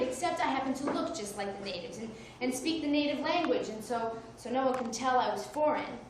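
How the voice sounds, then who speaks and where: High-voiced woman in an echoey hall. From Mexico. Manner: wry, self-mocking storytelling with laughs. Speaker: solo stage performer. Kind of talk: one-woman comedy show monologue. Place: theater stage, live audience. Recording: distant echoey live stage recording with noise.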